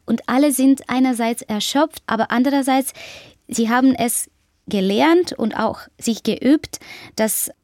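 A woman author with a Ukrainian accent, Russian as her first language, speaking German thoughtfully in an interview with many pauses and fillers. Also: a little high, a little mellow, no echo, clean studio sound. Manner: thoughtful, hesitant, with frequent fillers. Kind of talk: interview conversation.

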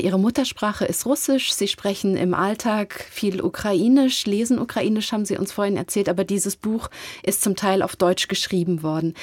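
Female host, articulate and steady, asks clear leading questions; dry studio recording. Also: medium pitch, dry recording. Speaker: interviewer. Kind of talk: interview host.

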